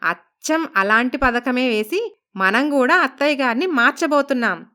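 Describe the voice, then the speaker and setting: A woman's voice: sits high, animated, moderate pace, pushed and bright. A woman voicing a polite, coaxing young daughter-in-law with a scheming streak in a cartoon moral story. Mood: scheming, reassuring. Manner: respectful, coaxing. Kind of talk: Telugu animated moral story voice acting.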